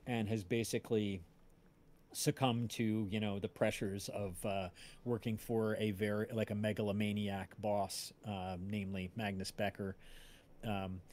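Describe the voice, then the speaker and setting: A man's voice: fairly low pitch, flat delivery, measured pace, darkish tone. A man hosting a casual livestream chat, rambling along and often searching for words as he tells stories. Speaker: podcast creator and stream host.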